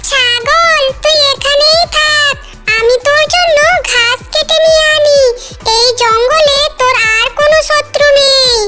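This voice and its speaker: A sly little fox from a children's cartoon. The voice sits extremely high, almost childlike, and chatters in a wheedling, scheming way. Loud music plays behind it. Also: measured pace. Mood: reassuring. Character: cunning fox scheming to farm goats. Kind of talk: animated children's story dialogue.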